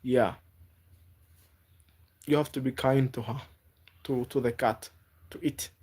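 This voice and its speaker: A man from Africa, not a native English speaker, chatting live with viewers and giving gentle advice, halting and repeating words. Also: medium pitch, steady tone, husky. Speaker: livestreamer. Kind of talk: casual live-stream chat with viewers.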